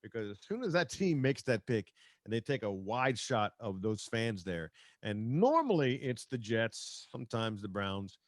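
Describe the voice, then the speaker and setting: A man's voice: fairly low pitch, very animated, moderate pace, dry recording, studio quality. A man hosting a podcast in casual, slangy sports chat over a remote video call. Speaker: podcast host.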